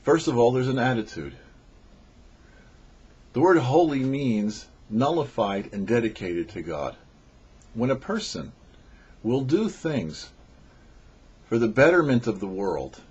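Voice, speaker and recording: A man who is a rabbi, giving a short weekly Torah teaching with measured, slow emphasis on key phrases. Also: medium pitch, darkish tone, slightly rough, highs cut off. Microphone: studio microphone.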